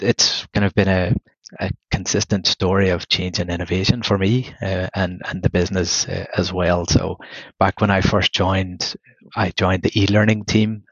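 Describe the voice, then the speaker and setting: A man from Northern Ireland with a Northern Irish accent, a manager talking through his career in a podcast interview, measured and given to restarting his phrases. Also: low voice, no echo, highs cut off. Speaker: guest manager.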